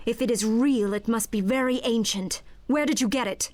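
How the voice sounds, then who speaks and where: A woman playing a cold, vengeful, menacing witch in a video game, speaking in a commanding, deliberate way. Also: a little high, darkish tone, dry recording, natural voice. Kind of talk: scripted video game acting.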